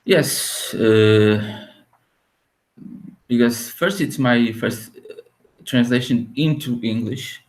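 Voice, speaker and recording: A man whose first language is Portuguese, a guest author and translator, talking in a halting way with many restarts and small laughs. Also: medium pitch. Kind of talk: casual podcast interview.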